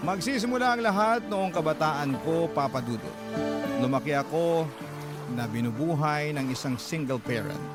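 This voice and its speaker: A male radio host reads a letter as sentimental, dramatic narration. His voice sits slightly high for a man, with music playing loudly behind him. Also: lively intonation, normal speed. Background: loud music.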